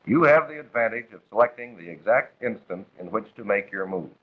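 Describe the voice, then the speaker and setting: Through a thin, band-limited vintage film soundtrack comes an American man with a lowish, rough-edged voice. He reads scripted 1940s training narration, formal and authoritative, instructing step by step. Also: expressive, fairly quick, phone-quality sound.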